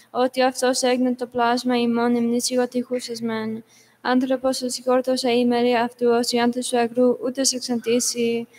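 A woman reading psalms aloud in a steady monotone, moving between English and Greek liturgical text, with a few small restarts. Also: on the high side.

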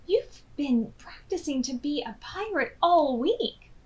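A woman's voice: high-pitched, big pitch swings, slow, darkish tone, highs cut off, pitched above the performer's own voice, exaggerated voice. A woman voicing a fretful, bossy young male storybook character in an emphatic, dramatic way. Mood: urgent, persuasive.

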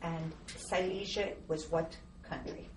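A woman's voice: sits low, steady tone, slow, darkish tone. A woman interviewer asking brief, polite questions. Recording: roomy, distant off-mic voice with faint hiss.

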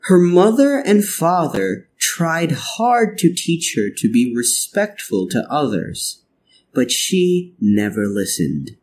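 Slow, very animated male storyteller. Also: a little high, slight background noise. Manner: deliberate, expressive storytelling. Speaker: storybook narrator. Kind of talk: scripted read-along narration for children.

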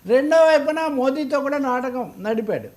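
A man with a very high-pitched voice and a Telugu accent delivers political commentary. He is emphatic and argumentative, often pressing his points with rhetorical questions. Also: expressive, moderate pace, a little mellow.

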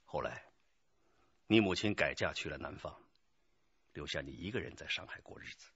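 An actor playing a stern, earnest young police detective lecturing a suspect in a radio drama. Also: male voice, extremely low pitch, animated, moderate pace, vocal fry, a bit bright, slightly rough, full character voice. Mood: grave, sympathetic. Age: 20s. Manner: emphatic, lecturing. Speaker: police interrogator. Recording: dull, muffled lo-fi audio.

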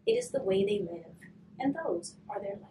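A woman in her thirties, a hospice volunteer, gently reading a storybook to children and then explaining a craft, her voice often dropping to a whisper. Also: mid-range voice, wildly swooping pitch. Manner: soft, slow and gentle, often trailing to a whisper. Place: curtained room, indoors. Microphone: far camera. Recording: distant mic with faint room noise.

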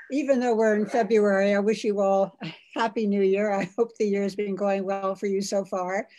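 A woman's voice: medium pitch, darkish tone. A middle-aged woman hosting a video call talk from a home room, speaking with lots of fillers, restarts and gushing thanks. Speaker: host.

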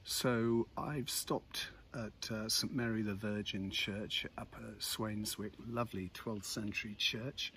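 Low-voiced older British man, outdoors. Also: fairly even intonation, takes it slowly, few pauses, pushed and bright. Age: 60s. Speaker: vlogger. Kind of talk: casual reflective talk to camera. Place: outdoors in a village churchyard. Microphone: phone on selfie-stick tripod, about arm's length. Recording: outdoor phone recording with background noise.

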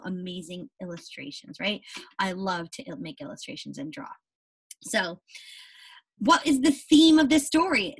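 Young female teacher, higher-pitched and slightly husky, unhurried storybook read-aloud for kids. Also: low-quality recording. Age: young adult. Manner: animated, deliberate storybook reading with questions to the listeners.

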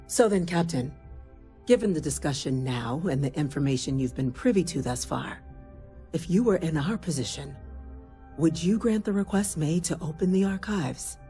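An actress playing an authoritative, decisive council leader in a video game, composed and formal. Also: female voice, deep voice, expressive, normal speed. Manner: commanding, formal. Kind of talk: video game character dialogue. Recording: loud music underneath.

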